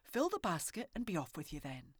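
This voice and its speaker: Scottish woman doing a busy mum, firm and a bit loud, kinda husky. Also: lowish voice, huge pitch swings, brisk, no echo, clean studio sound, exaggerated voice. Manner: firm, scolding warmth. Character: busy, firm but loving Scottish mother.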